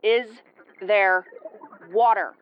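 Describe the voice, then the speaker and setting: A woman's voice: medium pitch, fairly even intonation, very slow, muffled recording, a little hiss or hum. A woman playing a brash, easily flustered starship commander with a tomboyish, brusque, sweary delivery in an audio roleplay. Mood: wary, exasperated. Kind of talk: audio roleplay acting, spoken to the listener.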